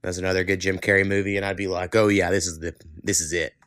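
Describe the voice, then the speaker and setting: Lowish-voiced man casually hosting a podcast. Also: little pitch movement, fast, fairly bright tone, clean tone, no room sound, clean studio sound. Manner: self-deprecating, loose, informal with casual swearing. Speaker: podcast host. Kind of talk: casual conversational podcast talk.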